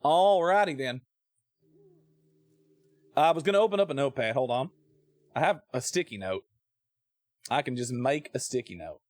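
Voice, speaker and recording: A man's voice: mid-range voice, lively intonation, normal speed, pauses often, dry recording. An American man giving casual, joking gameplay commentary and reading text aloud, with playful, folksy drawled asides. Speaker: game streamer.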